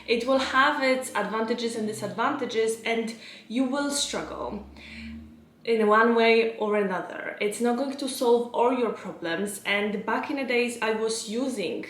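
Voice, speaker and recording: A woman's voice: on the high side, unhurried, fairly bright tone, slight background noise. A young adult woman from Poland with a Polish accent, talking casually to her viewers as a vlogger and coach. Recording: roomy, echoing indoor sound.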